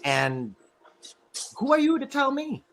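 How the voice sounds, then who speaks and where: A man hosting a casual, joking podcast conversation, telling stories in an animated way and acting out what people said. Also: very high voice, slow, noisy background. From California. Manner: animated storytelling, acting out quoted remarks. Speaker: podcast host and stand-up comedian.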